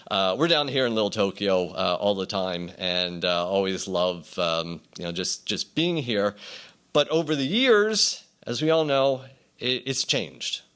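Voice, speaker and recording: A middle-aged or older man giving an informal acceptance speech as a writer, telling anecdotes conversationally with hesitant pauses. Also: medium pitch, a bit bright, clear, resonant tone.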